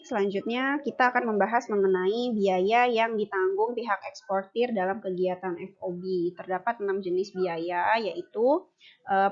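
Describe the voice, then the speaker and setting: A young adult woman giving an explanatory class presentation as a university student. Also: medium pitch. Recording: dull lo-fi recording.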